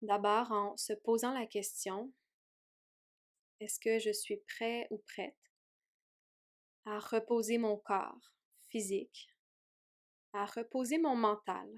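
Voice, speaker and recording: A woman yoga teacher leading a guided meditation in a gentle, soothing way with long pauses. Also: on the high side, fairly even intonation. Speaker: yoga teacher guiding a relaxation.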